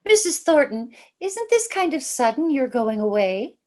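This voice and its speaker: A woman plays a worried, fussing character in an old-time radio drama. Her voice is high and swoops with concern. She questions urgently. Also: big pitch swings, normal speed, darkish tone. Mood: puzzled.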